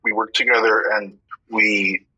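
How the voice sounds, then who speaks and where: Anxious pining monk on a comedy call-in: low voice, halting delivery, muffled radio-like line. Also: male voice, level tone, pushed and bright, breathy edge, full character voice. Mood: awkward, confessional. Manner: halting, stammering. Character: pining male monk caller, anxious, hesitant, lovestruck. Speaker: caller.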